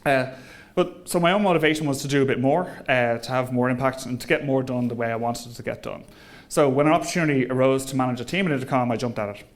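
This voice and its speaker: A man giving an informal presentation as an engineering manager, conversational and hesitant, with frequent ums and pauses. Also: medium pitch. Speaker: engineering manager speaking at a company event.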